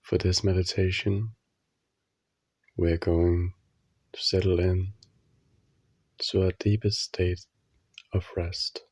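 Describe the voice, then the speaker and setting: Male meditation guide, husky and very unhurried, soothing short phrases, even delivery for sleep relaxation. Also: low-pitched, no echo. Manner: slow, soothing, instructional, with long pauses between short phrases.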